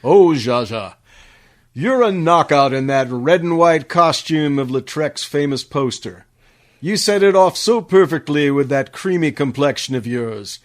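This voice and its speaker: An actor playing a flattering soap-ad announcer, effusive and emphatic in 1950s radio commercial style. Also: male voice, a little high, unhurried.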